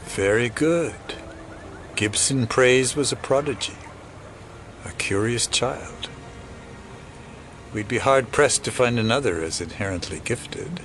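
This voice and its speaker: A man playing a sinister older conspirator in an audio drama, talking in a cryptic, condescending, deliberate way. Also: mid-range voice, expressive, fairly bright tone, a little hiss or hum. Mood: smug. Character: sinister, knowing older male conspirator, revived as a copy. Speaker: actor playing a shadowy villain.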